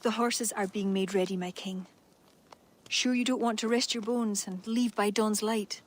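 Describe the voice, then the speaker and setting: An actress playing a thoughtful young Irish poet in a video game, wistful and earnest. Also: female voice, mid-range voice, fairly even intonation, normal speed, close to an everyday voice. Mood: concerned. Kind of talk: video game cutscene acting.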